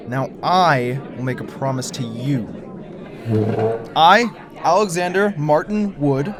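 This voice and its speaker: A man playing a wary, secretive conspiracy theorist in an audio drama, speaking in a halting, hesitant way. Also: on the high side. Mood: pleading. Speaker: lead character.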